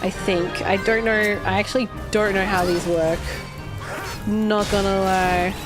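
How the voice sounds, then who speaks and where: A woman's voice: mid-range voice, steady tone, a little slow, music in the background. An Australian woman streaming a game, chatting casually and rambling with some swearing, her throat a little irritated and her voice a bit worn. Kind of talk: casual livestream chat while gaming.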